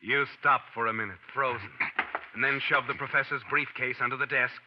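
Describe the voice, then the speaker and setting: A man's voice: mid-range voice, steady tone, fairly quick, a little hiss or hum, natural voice. Brief: male actor as a knowing, taunting radio-drama narrator, dull old broadcast sound. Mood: ominous. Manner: taunting second-person narration. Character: The Whistler, sinister all-knowing male narrator. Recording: dull vintage radio recording with music.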